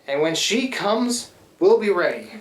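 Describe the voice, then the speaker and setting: Man acting a scrappy boat engineer, folksy rough grammar, stubborn, devoted, swooping intonation, radio play, roomy stage sound. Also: high voice, very animated, measured pace. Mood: confident. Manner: folksy, ungrammatical, hesitant when tender. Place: live theatre stage.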